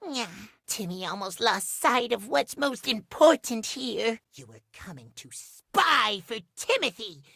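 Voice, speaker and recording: A man's voice: very high voice, big pitch swings, measured pace, vocal fry, sharp tone, no echo, clean studio sound, exaggerated voice. A man voicing a manic, vengeful, self-adoring cutesy cat in a video game, speaking theatrically of himself in the third person. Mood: spiteful, menacing. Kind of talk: video game character acting.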